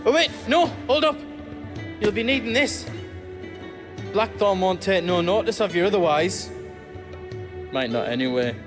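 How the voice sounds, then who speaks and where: A bumbling, kindly underling in a fantasy game. He talks slowly and haltingly in a high voice for a man, swooping about as he stammers, with music behind him. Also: animated. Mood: flustered, eager. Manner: hesitant, stammering, flustered. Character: timid, bumbling, well-meaning helper in a rebel hideaway. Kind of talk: fantasy game cutscene acting.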